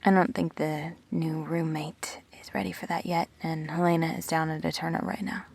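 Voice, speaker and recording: A woman acting an earnest, guarded immortal best friend in an audio drama, speaking in measured, reflective phrases with pauses. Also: deep voice, flat delivery, gravelly, a little mellow, husky, exaggerated voice. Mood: casual.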